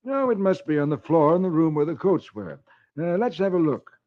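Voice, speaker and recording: Guy doing a courteous well-off gent, slightly high voice, muffled old radio. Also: lively intonation, fairly quick, close to an everyday voice. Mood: mildly troubled. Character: polite, fussy well-to-do gentlemen at a party. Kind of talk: 1940s children's radio comic-strip reading. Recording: muffled vintage radio recording.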